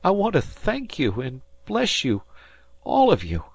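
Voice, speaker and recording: Man putting on a high teary mom voice, all grateful and pleading. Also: wildly swooping pitch, a little slow, creaky, breathy edge, dry recording, exaggerated voice. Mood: tearful. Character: emotional wealthy mother, tearful and grateful.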